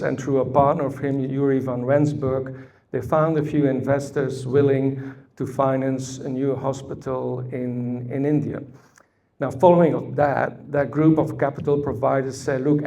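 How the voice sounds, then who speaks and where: A man with a Belgian accent presents at a conference workshop, pausing often on fillers as he builds his points. His voice is gravelly and warm rather than bright, with a steady middle pitch. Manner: deliberate, frequent "uh" fillers, storytelling asides. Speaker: conference speaker. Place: conference hall.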